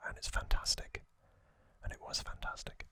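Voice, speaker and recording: A man hosting ASMR in a breathy whisper, gently recapping trivia in short, halting phrases. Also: no echo. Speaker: ASMR host.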